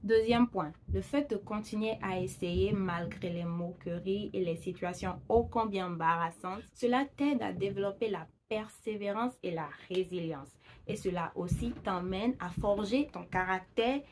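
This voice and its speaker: A woman's voice: medium pitch, a little slow, a little mellow, slight background noise. Female vlogger, upbeat direct address, casual tone, occasional English phrases. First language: Fon or Gun. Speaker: language vlogger. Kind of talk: casual direct-to-camera talk.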